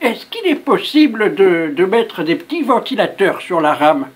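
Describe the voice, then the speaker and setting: Performer putting on a stubborn, excitable old grandmother. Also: medium pitch, normal speed, slightly rough. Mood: earnest, insistent. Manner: insistent, rambling, tags sentences with questions. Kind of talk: prank phone call.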